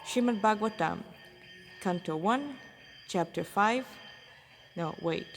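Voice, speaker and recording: A woman's voice: mid-range voice, lively intonation, takes it slowly, soft background music. A woman reading her own fan fiction aloud in an informal, amateur way, as its writer. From Montreal, Canada. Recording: echoey room with long reverb.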